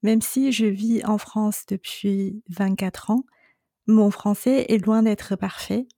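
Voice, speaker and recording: A middle-aged woman hosting a solo coaching podcast, speaking calmly and reassuringly straight to her listener. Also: medium pitch, slow, no echo, studio quality. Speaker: separation coach and podcast host.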